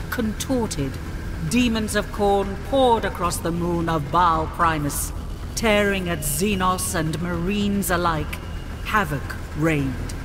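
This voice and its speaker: An actress playing a solemn narrator of a grim space war in a video game cutscene, speaking gravely and with measured weight. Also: female voice, fairly low pitch. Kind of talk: dramatic scripted cutscene narration. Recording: long cavernous reverb, music underneath. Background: dramatic music.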